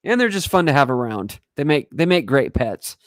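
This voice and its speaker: A hoarse man talking casually on a livestream, rambling and halting, and often clearing his throat. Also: a little high, sing-song, no echo, studio quality. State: hoarse from breathing smoke. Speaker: livestream host. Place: home in wildfire country.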